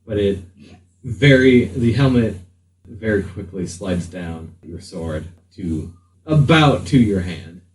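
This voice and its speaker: A man's voice: fairly low pitch, expressive, slow pace, darkish tone, slight background noise. A man improvising narration as game master of a tabletop role-playing game. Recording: roomy, distant mic, faint music underneath.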